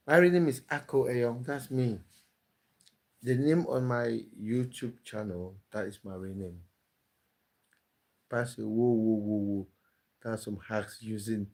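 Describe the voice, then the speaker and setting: A man's voice: medium pitch, a little mellow. A man hosting a casual live stream, reading viewers' comments aloud and answering them with easy laughter.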